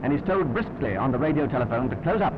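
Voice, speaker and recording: A man's voice: mid-range voice, expressive, fast talker, narrow, tinny audio, background noise. A British man narrating a 1940s wartime newsreel in a wry, clipped, understated manner, on an old film soundtrack.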